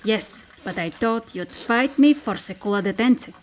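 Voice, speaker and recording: A woman's voice: mid-range voice, expressive, a little slow, lightly acted. An actress playing a bold, teasing, dangerous female forest spirit in an audio drama. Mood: incredulous.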